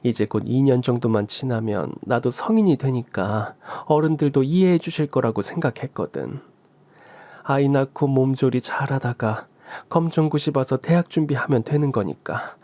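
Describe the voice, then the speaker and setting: A man's voice: mid-range voice, normal speed, close to an everyday voice. A man reading as a remorseful birth mother. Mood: confiding. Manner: soft, apologetic storytelling. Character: remorseful birth mother, middle-aged woman.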